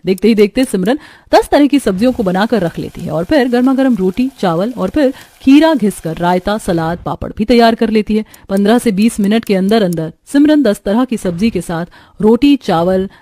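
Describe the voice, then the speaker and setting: A woman reading scripted storybook narration for an animated cartoon, telling the action in the present tense. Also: medium pitch.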